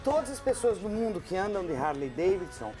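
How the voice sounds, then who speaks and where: A woman's voice: medium pitch, very animated, brisk, dark tone, faint music behind. A woman in her fifties with an Italian accent, a journalist guest joking dryly about herself on a TV talk show in a studio. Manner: dry, self-mocking humor. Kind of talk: casual talk-show chat. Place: bright TV studio set. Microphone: lapel mic.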